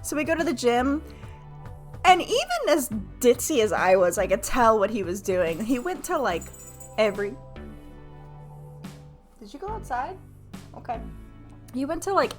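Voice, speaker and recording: American woman, streamer, casual confessional storytelling, very animated intonation, slangy and profane, self-mocking, light background music. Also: on the high side. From United States, Florida. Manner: animated, self-mocking, acts out quoted dialogue; profane, slangy, heavy use of "like". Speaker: streamer telling personal stories to her chat. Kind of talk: casual, candid storytelling to a live chat.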